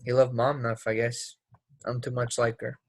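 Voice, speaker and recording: A man's voice: medium pitch, flat delivery, moderate pace, no room sound, close to an everyday voice. A man acting a troubled, defensive teenage boy in a play readthrough, guarded, with folksy ungrammatical phrasing. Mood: bitter. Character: troubled, defensive teenage boy, small-town drama student. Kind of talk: play readthrough, dramatic dialogue.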